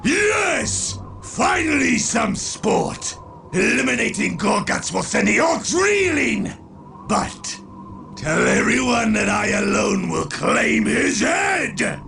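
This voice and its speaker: An actor playing a bloodthirsty, arrogant warlord in a video game, with a bombastic, menacing delivery. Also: male voice, very high voice, very animated, takes it slowly, vocal fry, bright, forceful tone, raised voice, faint music behind, exaggerated voice. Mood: gleeful bloodlust. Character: bloodthirsty, arrogant male Chaos warlord, warrior fanatic. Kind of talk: theatrical video game voice acting.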